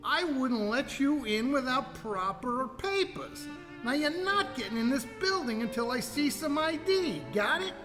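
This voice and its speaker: Tough new guard, slangy threats, drawn out, echoey with music behind. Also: female voice, high-pitched, animated, unhurried. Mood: gruff, threatening. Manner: blunt, menacing, slangy. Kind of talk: radio play acting. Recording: reverberant hall sound, music behind.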